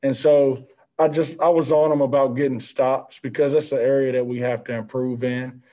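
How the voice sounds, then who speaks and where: A basketball head coach in his thirties speaks in a mellow, warm voice, earnest and measured. He leans on fillers as he works through his answers over a video call. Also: medium pitch, level tone. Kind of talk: postgame press conference answers. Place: indoor press backdrop room. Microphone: video call, seated at desk with mask.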